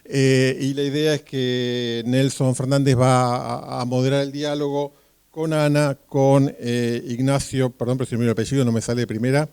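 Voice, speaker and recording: A man's voice: medium pitch, flat delivery, moderate pace, a little mellow, clean tone, dry recording. A man introducing a speaker at a public event, rambling with many fillers and small jokes.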